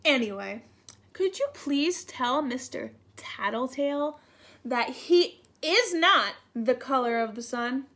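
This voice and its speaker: Storybook crayon, male, scornful and insistent, high-pitched delivery, acted by a woman. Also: lively intonation, slow, a bit bright, exaggerated voice. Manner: snide, insistent. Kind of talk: children's storybook read-aloud.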